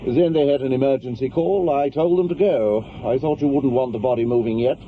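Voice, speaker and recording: A man's voice: mid-range voice, natural voice. Man playing clinical doctor, matter-of-fact, radio drama, dull recording, faint noise. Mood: detached, laconic. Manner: unhurried, clinical, laconic. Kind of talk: naturalistic radio drama acting.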